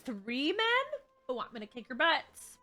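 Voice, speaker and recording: Animated, bright-voiced woman game streamer. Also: high-pitched, a little slow, soft background music. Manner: playful, exclaiming, chatty. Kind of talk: casual reactions while playing a game.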